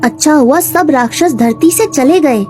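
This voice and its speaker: A woman's voice: high voice, a little slow, a little mellow, faint music behind. A woman voicing a curious, anxious young boy in a horror story, asking things innocently. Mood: curious, uneasy. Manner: innocent, questioning.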